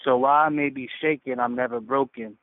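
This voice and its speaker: A man's voice: medium pitch, steady tone, a little fast, dry recording. Man on a muffled, narrowband phone line. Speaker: caller on a faith community call. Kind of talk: casual, reflective personal sharing.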